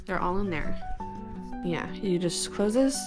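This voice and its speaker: A woman's voice: fairly low pitch, animated, measured pace, music in the background. A woman in her twenties talking casually to camera at home, rambling and chatty with lots of "like" fillers. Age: 20s. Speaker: lifestyle vlogger. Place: her own home, indoor living area.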